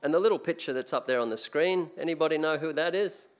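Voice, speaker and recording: A man's voice: on the high side, fast. An older man with an Australian accent gives a sermon from a church pulpit, chatty and full of restarts and fillers. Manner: conversational, many restarts and fillers. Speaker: guest preacher. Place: church sanctuary, speaking at a pulpit. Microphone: pulpit mic.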